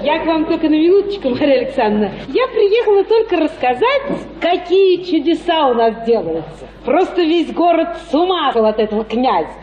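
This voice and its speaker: In a roomy, slightly muffled radio drama, a gossipy colonel's wife. Her high voice is vain and indignant, full of colloquial exclamations. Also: big pitch swings, brisk, few pauses, darkish tone. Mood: excited gossip. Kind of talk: radio play acting.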